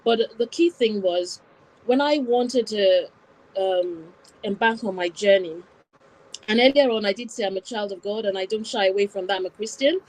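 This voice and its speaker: A woman's voice: mid-range voice, moderate pace, darkish tone, low-quality recording. A woman born in Wales and raised in Nigeria, telling her personal story step by step as an interview guest, in a reflective way. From born in Wales, raised in Nigeria from about nine.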